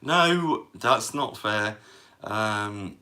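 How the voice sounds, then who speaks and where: A man hosting a casual live stream in a monotone voice, with many trailing fillers. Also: on the low side, extremely slow. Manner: rolling a cigarette while talking. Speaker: livestream host.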